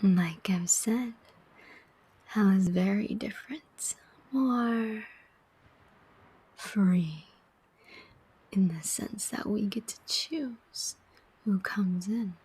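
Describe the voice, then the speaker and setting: Slow whispering demon soul collector, acted by a woman. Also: medium pitch, a little mellow, slightly rough, exaggerated voice, poor audio. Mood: sly, righteous. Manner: slow, deliberate, coaxing whisper with pointed questions. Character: persuasive, sardonic demon collector of souls. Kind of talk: whispered ASMR roleplay.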